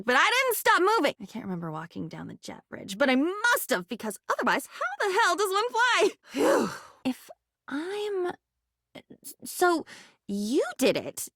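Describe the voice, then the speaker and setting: Whimsical young game heroine: high-set, theatrical, anxious and melodramatic, musing aloud in very lively swoops. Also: female voice, no room sound, studio quality, heavily acted. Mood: nervous, dreamy. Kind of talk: theatrical video game character acting.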